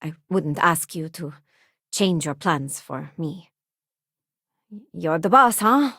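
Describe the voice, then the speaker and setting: A woman's voice: sits low, animated, slow, sharp tone, slightly rough, dry recording, full character voice. Young space crew woman for a video game, earnest n frank, idealistic, really dry studio sound. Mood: frank, casual. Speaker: video game companion character. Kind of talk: video game voice acting, short character lines.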